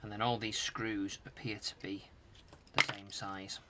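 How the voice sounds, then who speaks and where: A man with a fairly low voice talks casually through a repair. He mutters to himself in short fragments as he works, and there is clear noise and clicking on the recording. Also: level tone, unhurried. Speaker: host of a retro tech repair channel. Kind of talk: casual hands-on repair commentary.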